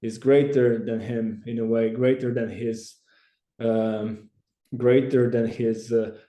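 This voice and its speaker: Earnest Croatian man, mellow and roomy. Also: mid-range voice, little pitch movement. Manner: earnest, halting, many restarts. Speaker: church branch president, guest. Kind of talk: podcast interview.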